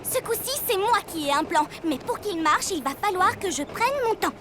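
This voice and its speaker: A woman voicing an eager, impatient six-year-old superhero girl who rushes ahead and then apologizes, in a French cartoon dub. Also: very high-pitched, expressive. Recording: loud cartoon music underneath.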